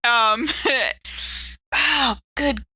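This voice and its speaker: A woman plays a tabletop roleplaying game in casual, playful style. Her voice is bright and sharp, recorded close and dry, and she slips between table chat and speaking as her character. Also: on the high side, very slow, husky, no room sound.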